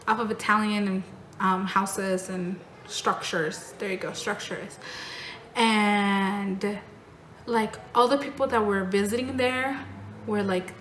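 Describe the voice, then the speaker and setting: A woman's voice: medium pitch, fairly bright tone. A young woman with an American accent, vlogging in a casual, rambling way with lots of fillers. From born in Mexico, raised in North Carolina. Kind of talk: casual talking-head vlog. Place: home in Mexico. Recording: echoey room with noticeable background noise.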